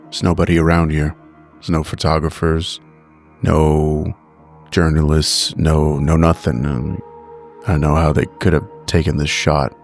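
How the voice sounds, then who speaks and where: A man voicing a baffled, low-key investigator in a tabletop horror game, terse and plain-spoken. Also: level tone, a little slow, soft background music. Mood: baffled, uneasy.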